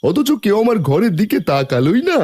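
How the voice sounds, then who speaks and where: A man's voice: high-pitched, sing-song, a little fast, darkish tone. A man voicing a boastful, jealous, vindictive villager in a cartoon, with an indignant, whining manner. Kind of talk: Bengali cartoon voice acting.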